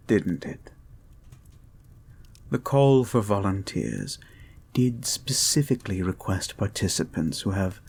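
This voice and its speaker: A creepy, controlling therapist acted by a man in an intimate hypnosis audio drama. His voice is low and gravelly, breathy at the edges, and he speaks softly with very deliberate, polite phrasing, swaying widely in pitch as he soothes. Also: full character voice. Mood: knowing. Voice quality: breathy, husky, often dropping to a whisper. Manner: soft-spoken, deliberate, halting, formally polite. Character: creepy, soothing male experimental therapist, calmly controlling and intent. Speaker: audio roleplay performer.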